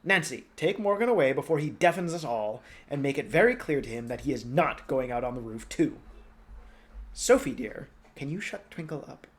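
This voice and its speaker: A man voicing a gracious but commanding princess who gives crisp orders. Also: a little high, big pitch swings, a little fast, few pauses. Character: commanding middle-aged royal princess.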